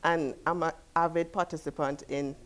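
Woman from trinidad and tobago, deep-ish voice, laid back, lots of "you know", studio lapel mic. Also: moderate pace. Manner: relaxed, frequent "you know" and "like". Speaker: guest, fitness expert. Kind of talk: casual interview talk. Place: TV studio, seated.

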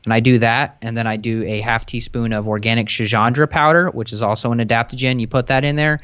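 A young man talking as a podcast guest over a video call from his kitchen, relaxed and chatty, explaining in long rambling runs into a close desk mic. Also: medium pitch, quick pace, clean tone, dry recording. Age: young adult. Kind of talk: casual podcast chat.

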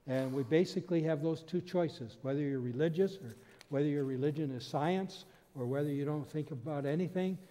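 A man's voice: fairly high pitch, fairly quick, mellow. An older man preaching a sermon from a church pulpit, telling a story and explaining scripture in an earnest manner. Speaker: pastor. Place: church sanctuary stage, indoors. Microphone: stand mic at pulpit. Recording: long hall reverb.

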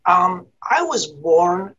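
A man's voice: high voice, big pitch swings, drawn-out words, sharp tone. An older man from northern Iran with a Persian accent, a guest telling his life story in an earnest, formal way with frequent hesitations. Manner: earnest and formal, with frequent ums and repeated words. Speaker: guest, business college dean. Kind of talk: interview storytelling. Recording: dull lo-fi sound.

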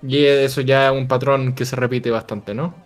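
Chilean man, co-host, calm explainer, faint music. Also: medium pitch, normal speed. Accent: Chilean Spanish. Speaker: video game news co-host. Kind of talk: casual panel discussion.

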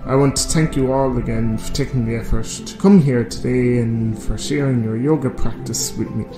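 A man's voice: medium pitch, steady tone, close to an everyday voice. Man acting a smug yoga teacher in an echoey room. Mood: smugly serene. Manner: serene, measured, condescending. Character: smug, passive-aggressive, judgmental male yoga instructor. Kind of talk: radio drama monologue as guided yoga class. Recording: roomy echo, music behind.

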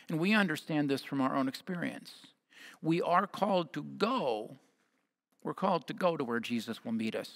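An American man in his fifties preaching a sermon as a pastor, speaking in measured short phrases, partly reading from notes. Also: on the high side, vocal fry, a bit bright. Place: church sanctuary at a lectern. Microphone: headset mic.

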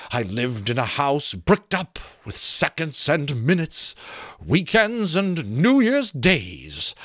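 A man voicing a teasing, wine-craving, worldly-wise talking skull from a fantasy storybook, with drawn-out words. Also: fairly high pitch, a bit bright, dry recording, clean studio sound, pitched above the performer's own voice, exaggerated voice.

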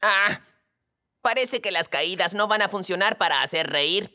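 A woman's voice: mid-range voice, lively intonation, measured pace, background noise, natural voice. A woman voicing a confident, helpful young boy kitten in a Latin American Spanish cartoon dub. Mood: coaxing. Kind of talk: children's cartoon dubbing.

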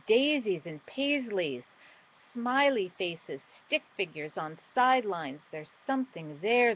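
A middle-aged woman delivers spoken-word poetry at an unhurried, deliberate pace. Her phrasing is theatrical, shifting between emphatic and hushed, in a mid-range voice. The sound is muffled and lo-fi, as from a webcam at home. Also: mid-range voice. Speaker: poet. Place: small indoor room at home.

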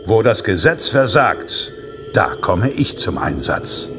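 Vengeful killer santa for horror audio play, lowish voice that swings around a lot, deliberate, music behind. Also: male voice, sing-song. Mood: grim, self-righteous. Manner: menacing, deliberate, self-righteous. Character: vengeful male vigilante killer dressed as Santa Claus. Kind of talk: German horror audio drama acting.

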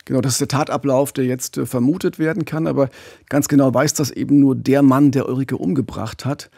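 A middle-aged man hosting a true-crime podcast, telling the story with a gravelly, husky voice on a studio mic. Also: medium pitch, steady tone, a little fast, mellow, no room sound. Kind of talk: podcast storytelling, partly scripted. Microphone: studio mic with pop filter, headphones.

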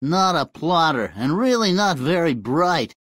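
Gruff comic dwarf. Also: male voice, a little high, sing-song, a little slow, fairly bright tone, no room sound, studio quality, heavily acted. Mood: bossy. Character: gruff, bossy, comic male fairy-tale dwarf. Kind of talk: video game character acting.

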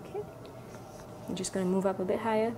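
A woman's voice: mid-range voice, steady tone, fast talker. A young woman working as a clinician, explaining each step of an exam to a patient and asking simple questions. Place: small clinical exam room. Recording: noisy room recording.

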